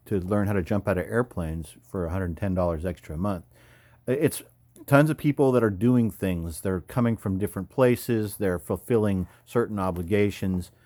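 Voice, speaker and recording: Casting: American male, 50s, lowish voice, informal rambling veteran commentary, close dry recording. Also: a little mellow, no room sound. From California, United States. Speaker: army veteran, former paratrooper and combat medic. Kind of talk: casual off-the-cuff commentary over photos.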